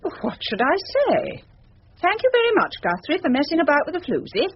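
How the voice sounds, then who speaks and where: Indignant British wife, wildly swooping voice. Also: female voice, high-pitched, wildly swooping pitch, brisk, muffled recording, heavily acted. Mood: sarcastic, indignant. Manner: sharp, sarcastic, indignant. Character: haughty, sceptical, wronged British wife. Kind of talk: British radio drama acting.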